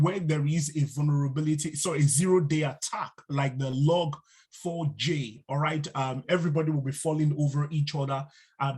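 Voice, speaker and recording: A man teaches a live online training session over a video call. His voice sits a little high and sounds husky. He talks unhurriedly, padding his sentences with fillers and pausing to quiz the class. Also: darkish tone. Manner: unhurried, filler-heavy, asks the class questions. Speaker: instructor. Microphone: video call mic.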